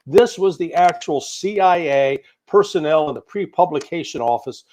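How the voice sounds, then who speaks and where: A man's voice: a little high, lively intonation, moderate pace, darkish tone. An American man speaking as a guest commentator in a political interview, opinionated and emphatic, piling up points in lists. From United States. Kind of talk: spoken political analysis in an interview.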